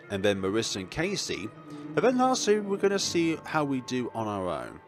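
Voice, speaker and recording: A man hosting a let's play, reading a visual novel aloud expressively with casual commentary of his own. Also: medium pitch. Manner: expressive, acts out dialogue, chuckles and stumbles over words.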